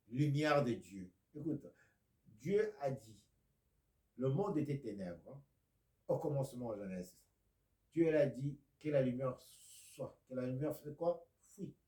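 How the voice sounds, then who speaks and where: A man from Congo preaching a video sermon, a speaker of Lingala and Kikongo who swings from loud declaiming to near-whispers. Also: on the high side, animated, takes it slowly, long pauses, roomy sound.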